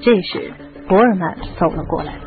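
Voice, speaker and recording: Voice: mid-range voice, expressive, moderate pace, narrow, tinny audio. A narrator reading scripted storytelling for a radio drama. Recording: band-limited audio with music.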